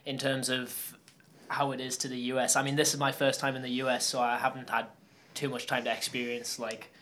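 A young Geordie man talks in a loose, rambling way, full of fillers and restarts. His pitch sits in the middle but barely moves, so it comes out fairly monotone. He is a guest in a relaxed interview. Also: a little fast, a little hiss or hum. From Newcastle upon Tyne, England. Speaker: guest athlete. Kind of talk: casual interview chat.

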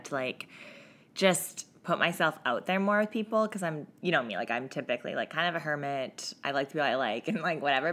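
A woman co-hosting a podcast, chatting casually with a voice raspy from a cough, laughing often as she tells stories. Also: deep voice, fairly bright tone. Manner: laughs often, loose storytelling with many fillers. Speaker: podcast co-host. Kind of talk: casual chat.

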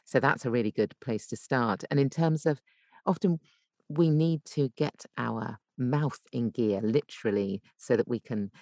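Low-voiced woman coach, dry sound. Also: measured pace, a little mellow, no echo. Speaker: guest voice coach and voice actor. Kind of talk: explanatory podcast interview.

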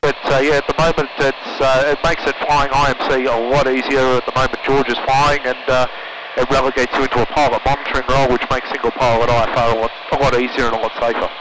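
An Australian man, a pilot, chats over a headset intercom in a small plane. His voice is mid-pitched and laid-back, halting as he explains, with clear engine noise around it. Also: flat delivery. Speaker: pilot and podcast co-host. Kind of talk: casual chat about flying. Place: cockpit of a small aircraft in flight. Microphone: aviation headset intercom. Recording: noisy aircraft intercom, engine drone.

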